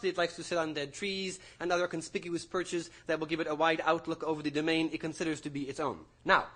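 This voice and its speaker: An intense young student in an audio drama, delivering a lecture with formal precision and an undercurrent of nerves. His voice sits fairly high for a man and has a bright, tense edge. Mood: nervous, faltering, then formal, instructing. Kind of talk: theatrical audio drama acting.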